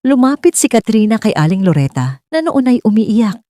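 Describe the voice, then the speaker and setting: A woman's voice: fairly high pitch, very animated, normal speed, over loud music. A woman narrating a scripted romance story in a dramatic storytelling style.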